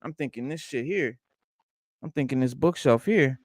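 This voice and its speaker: A man's voice: mid-range voice, expressive, moderate pace, no echo, clean studio sound. Profane rambling man. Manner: rambling, profane, lots of restarts. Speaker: podcast co-host. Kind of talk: casual unboxing chat.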